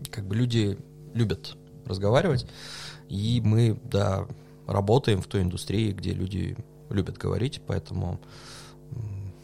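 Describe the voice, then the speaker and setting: A man talking through his answers in a relaxed podcast interview, pausing with fillers and laughing softly now and then. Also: deep voice, gravelly. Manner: thoughtful, hesitant with many fillers, laughs softly. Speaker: podcast guest, coffee judge.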